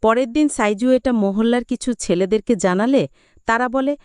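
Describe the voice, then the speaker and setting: A woman's voice: fairly high pitch, moderate pace, a little mellow, clean tone, dry recording, studio quality. A woman reading a scripted, dramatic retelling of a film as a movie explainer. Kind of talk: scripted dramatic story narration.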